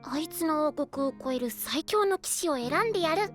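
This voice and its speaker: Very high-pitched earnest little boy knight. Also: animated, brisk, a bit bright. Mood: cheerful. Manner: polite, eager, hesitant, easily flustered. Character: small, earnest, polite, childlike boy fairy knight. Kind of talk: Japanese fantasy audio drama acting. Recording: voice over background music.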